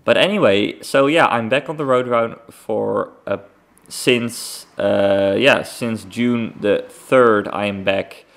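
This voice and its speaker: A man's voice: fairly low pitch, slow, gravelly, fairly bright tone. A young man from the Netherlands with a Dutch accent, hosting a casual solo podcast in an informal, rambling way. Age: young adult. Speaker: podcast host.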